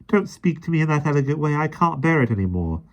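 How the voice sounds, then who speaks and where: An earnest, eager young boy who pleads and blurts out his feelings, as a man voices him. His voice is pitched well above the reader's natural deep register. He belongs in a children's storybook reading. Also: big pitch swings, quick pace. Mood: pleading.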